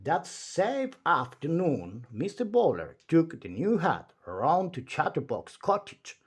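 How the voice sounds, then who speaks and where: Male storybook reader, highly varied intonation, theatrical delivery for young listeners. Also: takes it slowly, breathy edge. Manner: animated, theatrical. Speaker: storyteller reading aloud. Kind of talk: expressive children's storybook read-aloud.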